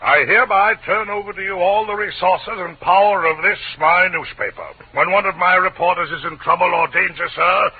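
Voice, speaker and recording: An actor playing a pompous, excitable crusading newspaper publisher, declaiming floridly in 1940s radio drama style. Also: male voice, sits high, a little fast, vocal fry, hardly any pauses, husky, full character voice. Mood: pompous indignation. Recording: tinny vintage radio recording.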